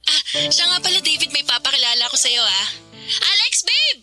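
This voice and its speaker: A woman's voice: sits high, sing-song, moderate pace, music in the background. A woman playing a bubbly, teasing young best friend in a Filipino radio drama, chatty and playful. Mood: playful, giddy.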